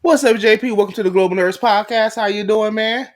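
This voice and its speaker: A man's voice: high-pitched, a little fast. A man hosting a podcast interview, chatting casually with slangy, informal phrasing. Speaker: podcast host.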